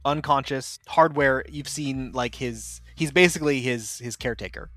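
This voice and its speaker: A man running a tabletop game as game master, relaxed and quick to laugh. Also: medium pitch, dry recording.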